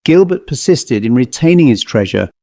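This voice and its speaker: A man narrating a scripted story as an audiobook reader, in a measured, deliberate manner. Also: medium pitch, big pitch swings, warm tone, no room sound, clean studio sound.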